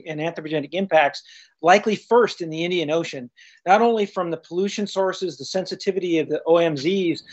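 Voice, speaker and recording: A man moderating a panel discussion over a video call, summing up points and passing the floor. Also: fairly high pitch. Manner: fluent, agreeing and summarizing. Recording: muffled video call audio.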